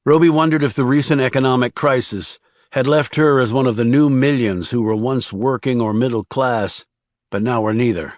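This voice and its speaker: A man's voice: medium pitch, moderate pace, dry recording, clean studio sound. A man reading scripted thriller narration as an audiobook narrator.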